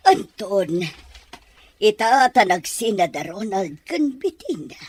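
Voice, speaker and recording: A woman's voice: mid-range voice, very animated, a little mellow, slightly rough, exaggerated voice. A woman with a Filipino accent playing a lovesick, imperious middle-aged madam in an Ilocano audio drama, with melodramatic delivery. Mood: pleading. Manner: melodramatic, drawn-out. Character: lovesick, imperious older madam, theatrical and wounded.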